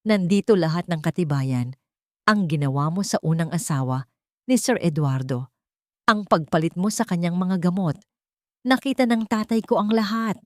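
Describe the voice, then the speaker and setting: A woman's voice: fairly low pitch, moderate pace, no room sound, studio quality, close to an everyday voice. A woman voicing a brave young housemaid who firmly confronts her employer's wife. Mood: accusing. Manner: firm, accusing.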